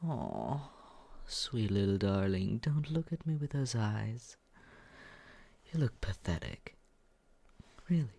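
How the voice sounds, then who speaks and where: Someone acting a smug mean young guy roommate for asmr, husky n gravelly, slow taunting drawl, slips into whispers. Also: mid-range voice, sing-song, dark tone, exaggerated voice. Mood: smug teasing. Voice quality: breathy, husky, often slipping into whisper. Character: smug, mocking, sadistic young male roommate, reluctant caretaker. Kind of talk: ASMR roleplay, slow teasing monologue.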